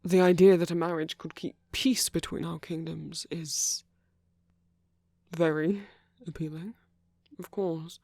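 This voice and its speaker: A man playing a gentle, nervous king in an intimate ASMR roleplay, speaking formally and earnestly with soft hesitations. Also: on the high side, flat delivery, measured pace, vocal fry, no echo. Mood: candid, hesitant. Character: gentle, nervous king confessing love to a prince.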